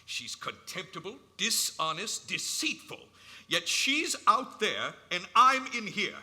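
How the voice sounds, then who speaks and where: Elderly Santa type, very bright high voice, swinging intonation, earnest, old-fashioned, radio play. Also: male voice, big pitch swings, slow pace, heavily acted. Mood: dejected. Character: kindly, indignant old man believing himself Santa Claus. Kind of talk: stage radio play acting.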